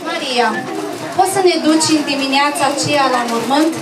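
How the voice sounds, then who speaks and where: A woman's voice: sits high, close to an everyday voice. A woman playing a polite, earnest television field reporter in a scripted puppet show news interview, formal in manner. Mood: courteous, inquiring. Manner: courteous, formal. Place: echoey live puppet show with audience. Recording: echoey, distant, noisy, crowd behind.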